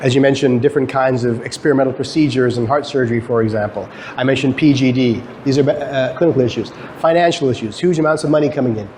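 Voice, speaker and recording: Male lecturer, medium pitch, explanatory, conversational, rhetorical questions, noisy live recording. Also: fast talker, few pauses, darkish tone. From Guyana, emigrated to Canada. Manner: conversational, asks and answers own questions. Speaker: lecturer, academic researcher. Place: lecture room, talk to students.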